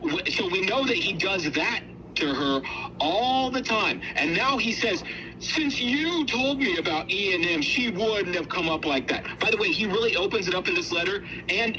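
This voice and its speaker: A man's voice: high-pitched, expressive, moderate pace, noisy background. A man giving casual, opinionated commentary in an indignant, emphatic way, often repeating himself for force. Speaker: co-host.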